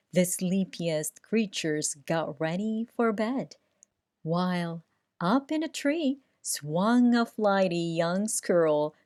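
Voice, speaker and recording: A woman reading a rhyming children's story aloud in an expressive, theatrical way with deliberate phrasing. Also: mid-range voice. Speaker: storybook reader.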